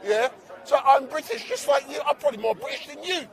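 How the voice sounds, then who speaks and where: High-voiced Barbados-born street interviewer. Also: male voice, very animated, quick pace, gravelly. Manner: chatty, teasing, interrupts to keep the floor. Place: outdoors at a city street protest. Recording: outdoor recording with crowd around. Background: crowd noise.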